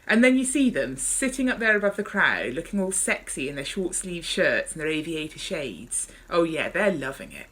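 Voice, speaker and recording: A woman's voice: low-pitched, sing-song, moderate pace, few pauses, a bit bright, breathy edge, heavily acted. A woman reading out the lines of a chatty, gossipy male paramedic in an amused, conversational way from a memoir. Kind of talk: author reading memoir dialogue aloud.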